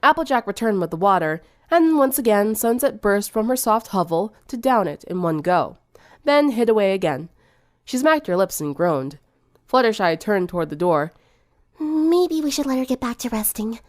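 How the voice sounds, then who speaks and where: A woman's voice: mid-range voice, lively intonation, moderate pace, no echo, clean studio sound. A woman narrating fan fiction in a dramatic storytelling style.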